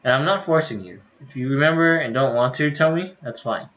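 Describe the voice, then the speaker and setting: A man's voice: medium pitch, a little fast. Man reading aloud, dull stream audio. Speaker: game streamer. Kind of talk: reading visual novel dialogue aloud, casual commentary.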